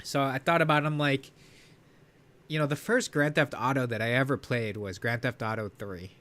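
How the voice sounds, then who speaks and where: A man's voice: medium pitch, fairly even intonation, normal speed, a bit bright. A man live streaming from home, chatting casually with a rambling, profane, laid-back manner and a congested, sniffly nose.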